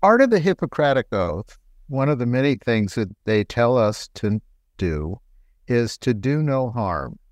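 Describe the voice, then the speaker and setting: A middle-aged man, a doctor co-hosting a health talk show, explaining things in a relaxed, conversational way. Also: fairly low pitch, dry recording, clean studio sound. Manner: explanatory, relaxed, laughs lightly.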